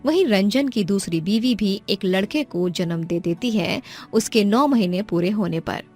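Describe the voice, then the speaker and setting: A woman's voice: mid-range voice, animated, a little slow, darkish tone, faint music behind. A woman narrator reading a scripted moral story.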